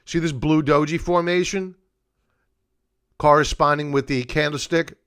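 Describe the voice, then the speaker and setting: A man's voice: on the high side, fairly even intonation, unhurried, fairly bright tone, smooth. A middle-aged man hosting a live market analysis stream, talking off the cuff and explaining in a conversational, stop-and-start way. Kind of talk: unscripted live commentary, chatting to viewers.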